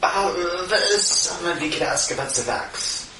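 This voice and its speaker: A man's voice: a little high, expressive, moderate pace, echoey room, recorded from a distance, noisy background, natural voice. A man voicing a formal male Russian schoolteacher in a put-on Russian accent, speaking in clipped, stiff sentences. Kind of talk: tabletop roleplay in character.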